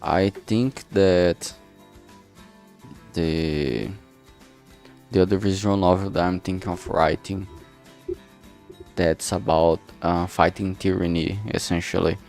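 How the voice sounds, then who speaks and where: A man's voice: deep voice, fairly even intonation, slow, vocal fry, pauses often, darkish tone, soft background music. A man chatting casually on a work stream, thinking aloud hesitantly and often trailing off. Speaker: streamer making a visual novel.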